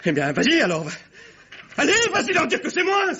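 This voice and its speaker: An actor playing a nervous, evasive, cowardly suspect in a radio mystery, stammering and defensive. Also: male voice, extremely high pitch, huge pitch swings, fairly quick, pushed and bright, a little hiss or hum, heavily acted. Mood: panicky, defensive. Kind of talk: French radio mystery drama acting. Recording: dull, muffled old radio recording.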